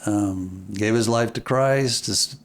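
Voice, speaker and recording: A man speaking as an interview guest, reflective and deliberate, often pausing and repeating phrases for emphasis. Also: on the low side. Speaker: podcast guest, filmmaker.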